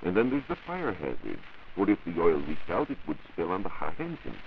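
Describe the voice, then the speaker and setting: A man's voice: deep voice, huge pitch swings, brisk, gravelly. A man giving formal, precise scripted explanations on a 1940s educational science radio program. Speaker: science reporter and radio panelist. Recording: dull, hissy 1940s radio recording.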